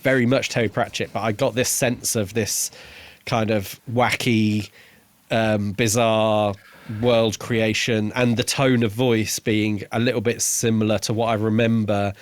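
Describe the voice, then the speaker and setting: A man's voice: fairly low pitch, flat delivery, unhurried, dry recording. A man co-hosting a podcast, talking informally and enthusiastically in a casual book review chat. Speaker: podcast co-host.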